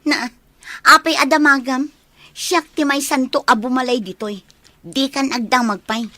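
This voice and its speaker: A woman's voice: high voice, fairly even intonation, a little slow, bright, forceful tone, dry recording. A woman playing a sassy, bossy grown daughter in an Ilocano comedy radio drama, with an Ilocano accent. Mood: sassy, irritated.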